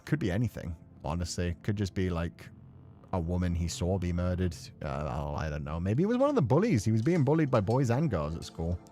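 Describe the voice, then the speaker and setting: A man's voice: low voice, big pitch swings, fairly quick, dark tone, soft background music. A man giving casual, playful live commentary on a visual novel as a streamer, chatty and mildly profane. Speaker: game streamer.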